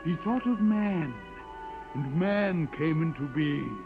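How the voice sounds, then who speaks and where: A man's voice: on the high side, animated, takes it slowly. An actor playing a pompous, menacing old holy man aboard a starship, speaking in solemn liturgical declamation in a vintage science-fiction radio drama. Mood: solemn chanting. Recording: muffled old radio recording, music behind.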